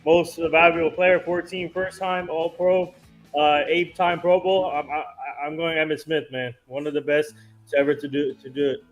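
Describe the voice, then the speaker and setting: A man's voice: fairly high pitch, steady tone, normal speed. A man on a sports panel, arguing for his pick in casual talk. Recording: dull lo-fi remote audio, music underneath.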